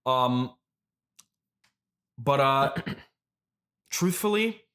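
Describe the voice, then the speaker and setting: A man playing a grandiose, evasive immortal turnip farmer and grieving mystic in an improvised comedy interview, speaking haltingly and pompously. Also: medium pitch, expressive, fairly bright tone. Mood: grandiose, mournful.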